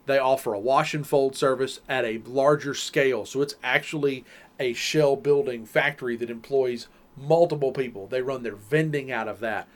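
A man's voice: medium pitch, moderate pace. Rambling Southern-accented man chatting. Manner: rambling, explains at length with restarts. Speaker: podcast co-host. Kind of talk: casual chat.

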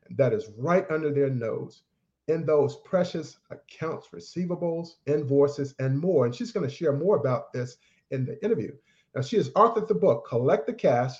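A man's voice: a little high, darkish tone. A man hosting a podcast, introducing his guest in an upbeat, formal way full of praise. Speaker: podcast host. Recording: dull, lo-fi sound.

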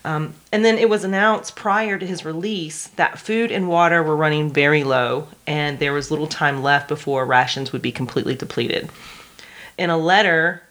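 Female podcast co-host, lowish voice, informal step-by-step history storyteller. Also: normal speed, fairly bright tone. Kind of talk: conversational true history storytelling.

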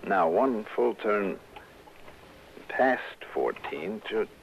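An actor playing a shrewd, self-assured male investigator in a 1960s radio drama, smooth-talking and deliberate. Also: on the low side, lively intonation, exaggerated voice. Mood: shrewd, intent. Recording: dull, noisy vintage radio recording.

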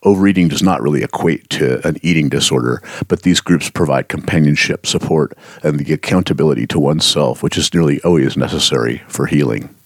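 A male radio co-host reading a scripted announcement in an earnest, deliberate and caring way. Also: a bass voice, no echo, clean studio sound.

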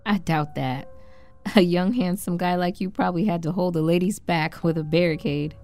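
A woman acting as a shaken wife in an audio drama, speaking low and mellow. She is compassionate and halting, stumbling over her words in a hushed way, with music playing underneath. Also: steady tone, close to an everyday voice. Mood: gently reassuring. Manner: halting, stammering, hushed delivery. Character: shaken, compassionate wife trapped in frozen time. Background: background music.

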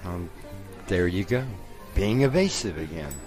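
A man's voice: deep voice, animated, unhurried, a little mellow, soft background music. A man playing a smug, confident lawyer in an audio drama, teasing and probing as a fiancé. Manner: self-assured, teasing. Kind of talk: scripted audio drama acting.

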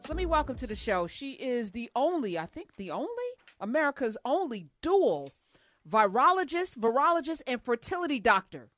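A woman hosting a talk show, welcoming and questioning a guest in an energetic, conversational way. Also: high voice, normal speed, faint music behind. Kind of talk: interview hosting.

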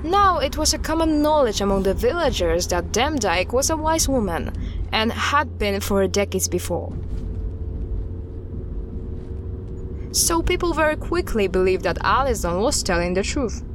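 A woman playing an earnest, guarded expert recounting dark history in an audio drama styled as a recorded interview, with a measured, serious delivery. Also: fairly high pitch, very animated, noisy background. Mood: measured storytelling.

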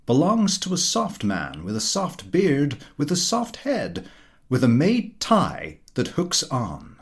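A man's voice: medium pitch, huge pitch swings, slow pace, exaggerated voice. A man voicing a pompous, opinionated American guest who lectures a dinner table in grand declamatory style, in a storybook reading. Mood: dismissive. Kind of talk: storybook character dialogue.

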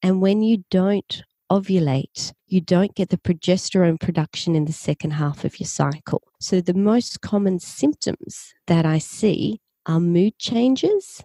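An Australian woman speaking as a naturopath guest, her voice mellow and relaxed. She explains steadily and patiently, in a dry, close recording. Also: lowish voice, unhurried, hardly any pauses, dry recording. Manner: clear, patient explaining with occasional restarts. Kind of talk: explanatory interview talk.

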